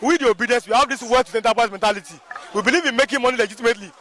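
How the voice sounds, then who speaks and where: A man's voice: extremely high pitch, rapid-fire, bright, forceful tone. A man with a Nigerian Yoruba accent speaking as a local movement spokesman, emphatic and repetitive, often checking "you understand?". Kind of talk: impassioned street interview.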